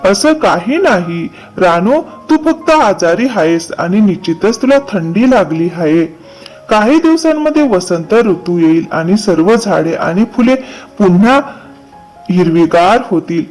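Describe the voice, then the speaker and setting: A man's voice: high voice, animated, measured pace, darkish tone, soft background music, rough recording. A man voicing a worried, loyal male crow in a children's cartoon, speaking in an earnest, concerned way. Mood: reassuring. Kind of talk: children's cartoon story dialogue.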